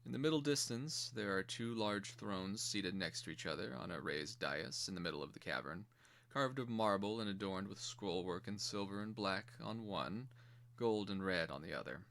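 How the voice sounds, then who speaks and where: Male narrator, low register, measured and theatrical, reading dark fantasy stage directions. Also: animated, gravelly. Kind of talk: dramatic screenplay reading.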